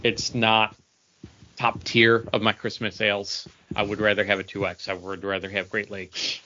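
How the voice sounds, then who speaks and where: Man, American accent, lowish forceful voice, casual podcast chat. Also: flat delivery, moderate pace, slight background noise. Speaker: podcast co-host. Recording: dull lo-fi voice recording.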